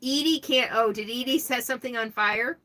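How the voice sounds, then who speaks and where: A middle-aged woman chatting casually on a live stream, answering viewers' questions in a rambling way with lots of restarts. She has a loud voice that carries well. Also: on the high side, fairly quick.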